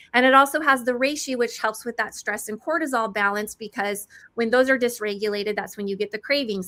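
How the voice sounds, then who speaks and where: A woman in her forties giving an eager, chatty product pitch about mushroom supplements, calling herself a nerd. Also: fairly bright tone. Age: 40s.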